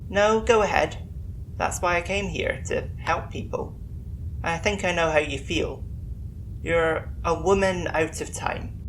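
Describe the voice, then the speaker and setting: Gentle, friendly alien doctor played by an actor. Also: medium pitch, unhurried, slight background noise, natural voice, low-quality recording. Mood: reassuring. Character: friendly alien colony doctor, calm and empathetic. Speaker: actor in an audio drama. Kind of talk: naturalistic science-fiction audio drama acting.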